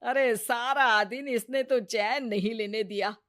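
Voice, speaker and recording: A middle-aged mother-in-law played by a woman from a cartoon domestic tale, nagging and sarcastic. The voice sits fairly high and scolds in exclamations, with a dry close sound. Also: fairly even intonation, a little slow, a bit bright, no echo. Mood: scolding.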